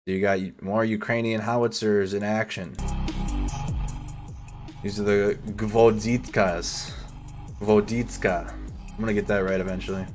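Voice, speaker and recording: A man's voice: fairly low pitch, steady tone, a little slow, pauses often, music in the background. A man giving casual live commentary over war footage as a news streamer, swearing and stumbling over foreign names.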